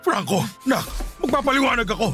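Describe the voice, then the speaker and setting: A man's voice: very high voice, expressive, fairly quick, bright, forceful tone, heavily acted. An actor playing an older, guilty father pleading in a radio drama, with a Filipino accent, over music. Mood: pleading, afraid. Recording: music under the voice.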